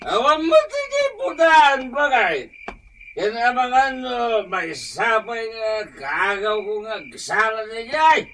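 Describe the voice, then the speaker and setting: Slow, drunken Ilocano husband. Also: male voice, extremely high pitch, sing-song, bright, forceful tone, a little hiss or hum, exaggerated voice. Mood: slurring, sulky. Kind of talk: comedic radio drama acting.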